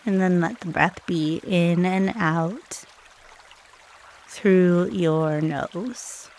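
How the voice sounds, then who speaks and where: A woman leading a guided meditation in a soothing, unhurried way, leaving long pauses between short phrases. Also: fairly low pitch, darkish tone, slight background noise.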